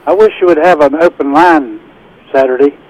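A male caller heard through a radio phone line. His voice is slightly high for a man, and he keeps his answers brief and plain. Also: moderate pace, darkish tone.

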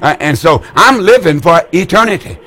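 An older man in his eighties preaches to callers on the air. His voice sits a little high for a man, and he talks in a folksy, repetitive way, stumbling over words and restarting often. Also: expressive, moderate pace, bright, forceful tone. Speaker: radio preacher taking calls. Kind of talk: call-in religious broadcast.

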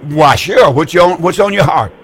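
A man's voice: on the high side, expressive, moderate pace, slightly rough. An eighty-year-old man hosting a call-in religious broadcast, preaching in a folksy, repetitive way with frequent stammered restarts. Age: older. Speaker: radio preacher taking calls.

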